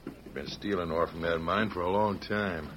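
A man's voice: deep voice, steady tone, normal speed, a bit bright. A man playing a blunt, shady cafe owner with a knowing, needling manner in an old western radio drama. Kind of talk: 1940s western radio acting. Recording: noisy old radio recording.

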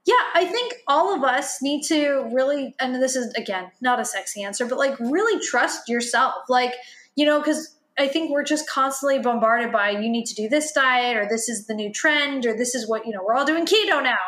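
A woman's voice: high voice, moderate pace, a bit bright. A woman guest on a podcast talking casually as a health coach, chatty and self-deprecating, full of fillers, whose first language is Italian. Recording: roomy echo.

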